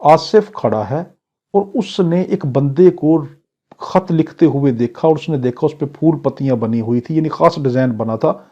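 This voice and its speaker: A man's voice: fairly high pitch, dark tone. A Pakistani-accented man giving an exam-prep law lecture, explaining didactically with examples and questions. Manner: reads English clauses slowly, then explains them in Urdu; didactic, poses questions and answers them. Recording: dull, lo-fi lecture recording.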